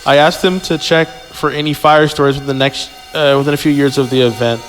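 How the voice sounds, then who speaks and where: Male podcast reader, slightly high voice, jokey and stumbling delivery, music behind him in a reverberant space. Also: fairly even intonation, a little fast. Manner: stumbles while reading, jokey. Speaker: podcast host and story reader. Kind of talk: reading a creepypasta aloud with casual comic asides. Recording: echoey hall sound with music.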